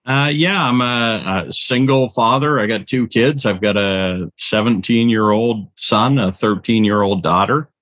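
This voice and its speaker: A man's voice: on the low side, measured pace, few pauses, fairly bright tone, dry recording. A man in his forties, a podcast co-host, telling his background in a rambling, conversational way with fillers. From Canada. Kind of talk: casual podcast chat.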